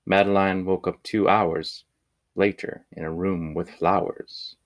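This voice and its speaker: A man reading a children's picture book aloud in measured, deliberate phrases with pauses. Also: deep voice, flat delivery. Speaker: storybook reader.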